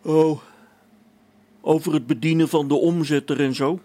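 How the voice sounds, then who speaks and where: A man's voice: fairly high pitch, fairly even intonation, normal speed, warm tone, natural voice. An amateur actor in a radio play as an anxious space station technician, a man who stammers and hesitates, agitated. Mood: puzzled, alarmed.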